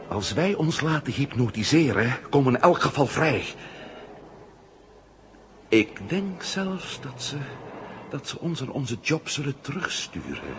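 A man's voice: medium pitch, background noise, natural voice. A man acting a resourceful, decisive astronaut hero in a 1970 Dutch radio drama. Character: resourceful male astronaut hero, decisive captive planning escape.

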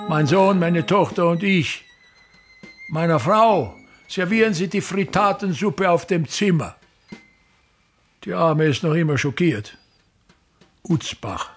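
An actor playing a pompous, embittered ageing theatre impresario in a radio play, declaiming in querulous, repetitive tirades with emphatic pauses. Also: male voice, fairly high pitch, creaky, slightly rough, exaggerated voice. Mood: imperious. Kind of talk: German-language radio play acting.

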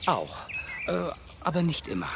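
Musing, playful radio-play dad. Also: male voice, on the high side, very animated, normal speed, creaky, background noise. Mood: playful, affectionate. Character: restless, playful father talking with his young daughter. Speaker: actor in a children's radio play. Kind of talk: German children's radio drama acting. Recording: studio radio play with music.

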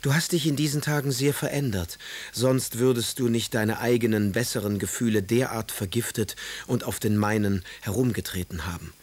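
An actor playing a proud, aggrieved young poet husband who pleads in eloquent, theatrical phrases in a radio drama. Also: male voice, mid-range voice, steady tone, moderate pace, a little mellow, husky, close to an everyday voice. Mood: reproachful. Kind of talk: German radio drama, letters read in character.

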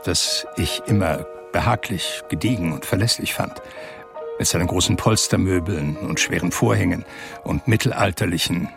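A blind man looking back on his youth in an audio drama. His voice is low, with a lot of creak, and he tells his story in a measured, literary way. Music plays clearly behind him. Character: reflective blind man recounting his New York youth. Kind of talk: audio drama first-person narration.

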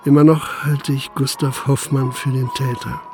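An actor playing a principled, dogged Prussian police commissioner in a German radio drama, formal and articulate. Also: male voice, mid-range voice, little pitch movement, normal speed, vocal fry, music in the background, natural voice. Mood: sober, resigned. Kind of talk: German radio drama acting, dialogue and first-person narration.

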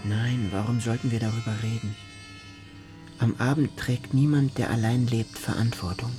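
A man's voice: mid-range voice, fairly even intonation, moderate pace, lightly acted. An actor playing a fearful, solitary, evasive man in a literary radio drama. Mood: uneasy, musing. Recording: music behind the voice.

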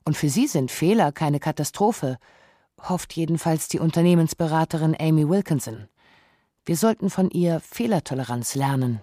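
Radio essay narrator: medium-pitched, soft-edged and measured, with a very dry studio sound. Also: expressive, dry recording.

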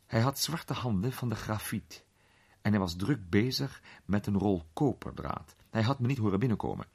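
A man playing an urbane gentleman detective who recounts his case with measured, suspenseful pauses in a radio play. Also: fairly low pitch, expressive, creaky, slightly rough, full character voice. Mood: composed, confiding. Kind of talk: dramatised storytelling in a radio play.

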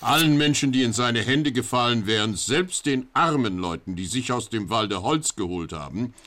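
A man's voice: mid-range voice, animated, normal speed, fairly bright tone, close to an everyday voice. A man playing a droll narrator of lurid robber tales in a radio play, with mock-dramatic wry asides. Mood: mock-grave.

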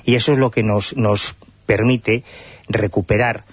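Male radio co-host, husky, lowish, explanatory, lo-fi sound. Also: steady tone, unhurried, dry recording. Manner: storytelling, explanatory. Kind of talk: radio talk show segment. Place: radio studio. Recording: dry lo-fi radio broadcast.

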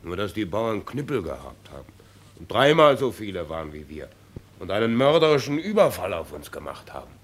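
Actor as boastful young soldier, very animated. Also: male voice, medium pitch, close to an everyday voice. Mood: excited boasting. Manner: swaggering storyteller. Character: bold, boastful young soldier, a favoured son. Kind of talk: stage-style radio drama acting.

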